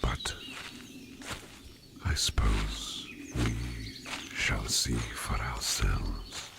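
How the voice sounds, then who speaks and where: A man playing a courteous, secretive sleep demon in disguise for an ASMR roleplay, speaking in formal, old-fashioned, halting phrases in a breathy near-whisper. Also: very deep voice, very noisy, heavily acted. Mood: secretive, wistful. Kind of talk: soft-spoken ASMR roleplay addressed to the listener.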